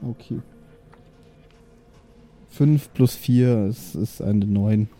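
A man's voice: fairly low pitch, extremely slow, background noise. A man chatting casually as a player in a tabletop role-playing game.

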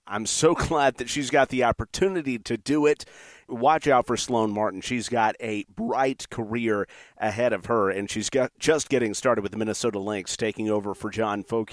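A man's voice: medium pitch, no echo. Man, mid-range voice, podcast host, chatty, enthusiastic, sports talk. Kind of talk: sports interview and show outro.

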